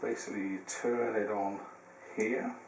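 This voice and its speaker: A man's voice: lowish voice, level tone, unhurried. A man presenting a casual how-to demonstration, explaining step by step in a hesitant way. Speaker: tutorial presenter. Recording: roomy, distant mic, faint noise.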